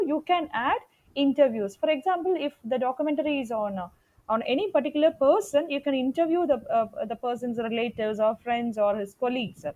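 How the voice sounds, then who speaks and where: Female lecturer, high register, very animated intonation. Explanatory classroom delivery, emphatic, repeats key points. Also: poor audio. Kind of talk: explanatory lecture on media production.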